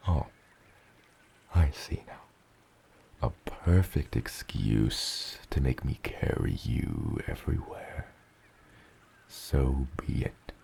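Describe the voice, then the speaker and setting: An immense father dragon speaks in an extremely deep, gravelly rumble. He is slow, tender and doting, choosing old-fashioned words in a soft ASMR roleplay style. Faint ambient noise sits behind him. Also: full character voice. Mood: teasing, fond.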